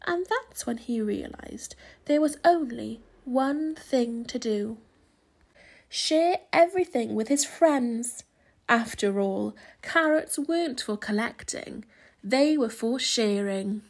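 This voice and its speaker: A woman narrates a children's story aloud. Her voice is on the high side, and she takes her time, with measured, dramatic phrasing. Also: expressive. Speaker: storybook reader.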